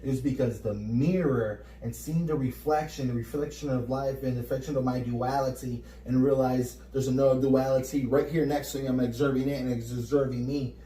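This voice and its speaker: A Puerto Rican man co-hosting a casual spiritual chat, energetic and repeating words as he gets going. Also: medium pitch, fairly quick, hardly any pauses, slight background noise. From Puerto Rico. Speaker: podcast co-host. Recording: roomy echo, mic at a distance.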